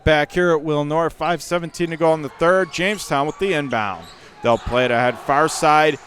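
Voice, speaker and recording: A man with a Western New York accent calling a high school basketball game live on radio, rattling off plays and rising to shouts on big moments. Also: slight background noise. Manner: rapid calls that surge to excited shouts on big plays. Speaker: radio play-by-play announcer.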